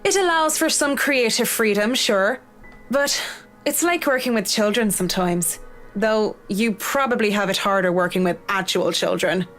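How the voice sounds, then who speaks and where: An actress voices a witty female interior designer in a visual novel. She sounds confident and passionate about her work, with a wry edge, in a medium-pitched voice over soft music. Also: normal speed, lightly acted. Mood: passionate, proud. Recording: music behind game dialogue.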